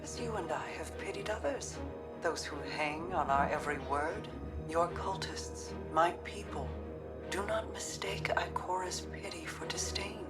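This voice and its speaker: An actress playing a solemn, regal queen in a video game, speaking in a measured, formal way. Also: fairly low pitch, recorded from a distance, over loud music, close to an everyday voice. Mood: soft, knowing. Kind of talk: dramatic video game acting.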